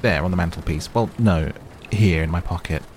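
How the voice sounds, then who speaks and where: Man acting old hobbit, storybook reading, low creaky voice, stubborn, impatient, testy, noisy background. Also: normal speed, darkish tone. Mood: impatient, defensive. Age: older. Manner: stammering, impatient, defensive.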